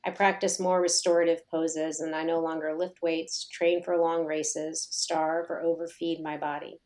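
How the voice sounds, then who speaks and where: A middle-aged woman, a yoga teacher, reading her own personal essay aloud in a slow, measured, reflective way. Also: deep voice, flat delivery, a little mellow.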